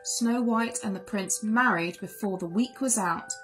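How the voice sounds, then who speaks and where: Woman, children's storyteller, expressive read-aloud narration, slow pace, background music. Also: mid-range voice.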